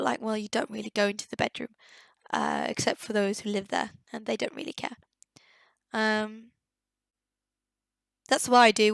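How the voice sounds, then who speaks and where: Young woman, higher voice, dry close sound, slight croak; hesitant casual commentary. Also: dry recording. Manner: hesitant, searching for words, many fillers. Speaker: game builder. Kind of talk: casual voice-over commentary on a game build.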